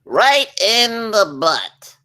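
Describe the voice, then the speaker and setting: A man's voice: high voice, very animated, very slow, pushed and bright, heavily acted. Man playing a bossy, swooping little ship officer. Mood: bossy, menacing. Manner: abrasive, theatrical, switching between barking and fawning. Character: bossy, crude, sycophantic short male ship officer. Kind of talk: comedy script table read.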